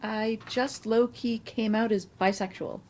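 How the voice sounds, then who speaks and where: A woman's voice: on the high side, fairly even intonation, a little slow, a little mellow. A woman acting a hesitant, affectionate young woman confiding in a friend, in a conversational staged play reading. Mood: nervous, hesitant.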